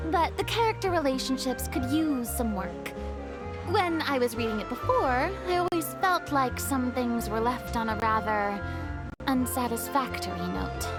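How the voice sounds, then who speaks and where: A woman voicing a vain, theatrical young star who talks in a haughty, dramatic, self-important way, in a video game. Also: sits high. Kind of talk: game voice acting. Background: game music.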